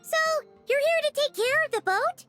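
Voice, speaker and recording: A woman voicing a small, childlike, chirpy and nosy floating fairy companion in an animated game, with music behind her. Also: extremely high pitch, moderate pace. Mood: chirpy, curious. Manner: refers to self in third person.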